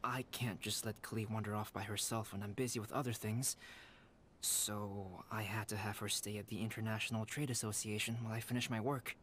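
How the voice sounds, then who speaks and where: A man voicing a polite, caring young man in a video game, calm and measured, with gentle formal phrasing. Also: on the low side, a little hiss or hum, natural voice. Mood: calm, courteous.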